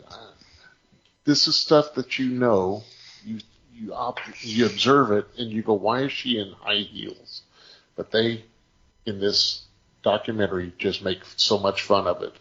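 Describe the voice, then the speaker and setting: A man telling anecdotes in casual podcast chat, speaking in short phrases broken by pauses. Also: lowish voice, pushed and bright, lo-fi sound. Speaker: podcast co-host.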